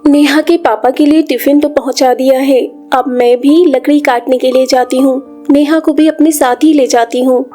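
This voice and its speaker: Woman as caring village mother for animated story, high-pitched, emotional and earnest, anxious for her family, faint music behind. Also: moderate pace, hardly any pauses, close to an everyday voice. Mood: reassuring. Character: worried, caring mother and devoted village wife.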